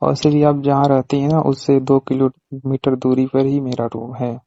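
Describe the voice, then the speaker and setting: A man's voice: medium pitch, completely flat delivery, moderate pace, dark tone, no echo, natural voice. A man playing a flirtatious, coyly suggestive pizza delivery man in a casual phone call skit, speaking colloquially and hesitantly. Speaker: actor in staged prank-style phone call skit. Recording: muffled lo-fi phone call recording.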